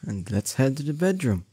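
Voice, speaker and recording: A young man playing a strong, doting boyfriend in an intimate roleplay audio drama, coaxing and teasing affectionately with drawn-out words. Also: mid-range voice, dark tone, breathy edge, no room sound, full character voice. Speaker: voice actor.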